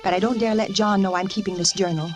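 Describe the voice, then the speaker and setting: An actress as a brooding, fragile young wife over music. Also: female voice, mid-range voice, fairly even intonation, quick pace, lightly acted. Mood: bitter, secretive. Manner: intense, brooding, dramatic delivery. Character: fragile, nervous young wife secretly writing a journal. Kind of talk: 1940s radio acting, confessional narration. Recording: old radio recording with music behind.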